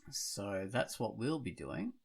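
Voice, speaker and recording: A man with a low, creaky voice hosts a casual podcast. He rambles along conversationally, thinking out loud as he talks. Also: very animated, takes it slowly. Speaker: podcast host.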